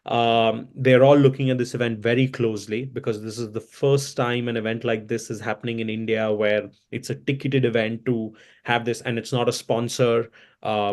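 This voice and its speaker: A hoarse man giving long, rambling interview answers, with many fillers and self-corrections. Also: medium pitch, darkish tone. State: hoarse from chanting. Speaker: interview guest, events entrepreneur.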